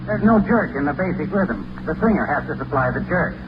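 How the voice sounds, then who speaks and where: A man's voice: on the high side, lively intonation, brisk, lo-fi sound, background noise. A man talking in scripted old-time radio style, explaining things on a vintage broadcast recording.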